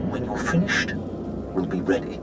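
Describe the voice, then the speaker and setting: An actor playing an imperious Sith warlord in a video game, speaking in a formal, cold and threatening way. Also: male voice, lowish voice, sing-song, normal speed, loud music behind the voice. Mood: disdainful threat. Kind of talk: dramatic video game voice acting. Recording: loud score over the voice. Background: loud cinematic game score.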